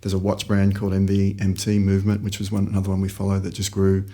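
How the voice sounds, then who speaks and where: Middle-aged man, rapid delivery and easygoing, a founder telling his story on a podcast. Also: low voice. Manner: relaxed storytelling with frequent restarts. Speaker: guest, company founder. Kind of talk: conversational interview answers. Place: office in a shared workspace.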